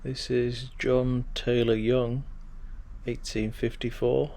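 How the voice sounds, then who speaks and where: A man with a gravelly, creaky voice wanders an outdoor churchyard, reading old gravestones aloud and thinking out loud. He's losing his voice, and his talk is loose and musing, at a middling pitch. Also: flat delivery, slow. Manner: musing, reads gravestones aloud, talks to himself. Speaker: vlogger touring a graveyard. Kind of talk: casual walking commentary.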